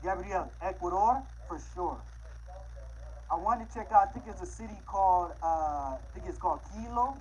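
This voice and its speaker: A man livestreaming casually, chatting with viewers in a laid-back, halting way that often trails off. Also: high-pitched, expressive, muffled recording. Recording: clearly noisy livestream with background ambience.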